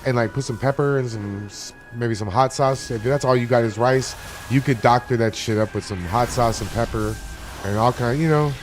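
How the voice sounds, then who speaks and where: A man's voice: mid-range voice, normal speed. A man from Miami chatting casually and profanely while streaming a game, rambling from one topic to the next. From Miami, USA. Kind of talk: casual live-stream chat while gaming. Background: game music.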